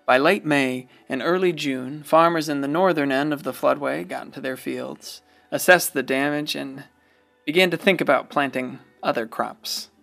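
A man playing a folksy, reflective small-town storyteller, narrating a radio play in an unhurried, wistful way. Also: fairly high pitch, vocal fry, fairly bright tone, soft background music.

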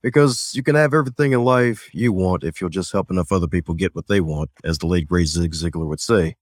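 A man's voice: deep voice, brisk, dry recording, studio quality. A man from the United States, a self-leadership coach guesting on a podcast, upbeat and a little preachy, chuckling at his own jokes. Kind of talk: casual podcast conversation.

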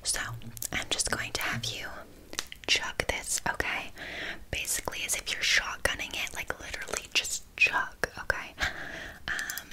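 Woman doing a scammy witch asmr roleplay, all whispered, kinda flaky and casual, rambles and stalls, not really sorry. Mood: coaxing, soothing. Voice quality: soft breathy whisper. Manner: halting, rambling, casual, insincerely apologetic. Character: flaky, scammy young witch, blithely unbothered.

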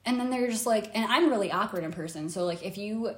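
A woman's voice: mid-range voice, fast talker, reverberant. A woman hosting a casual podcast, telling a story from memory in a rambling, laughing way. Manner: rambling, many fillers and restarts, laughs easily. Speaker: podcast host.

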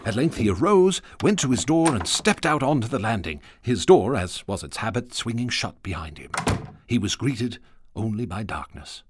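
A man narrating a horror radio drama in an ornate, measured, theatrical manner. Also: on the low side, sing-song, background noise. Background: music.

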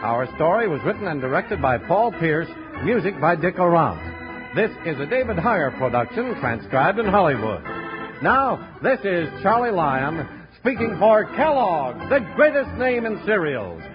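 A man's voice: sits high, very animated, a little slow. A man announcing in a polished, emphatic 1950s radio style, selling to the audience from a script. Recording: dull old radio broadcast, music behind. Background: music.

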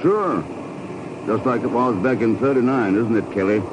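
An actor playing a cool, wry secret agent in a 1950s radio drama, dry, understated and probing. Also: male voice, mid-range voice, lively intonation, moderate pace, close to an everyday voice. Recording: muffled, noisy vintage radio recording.

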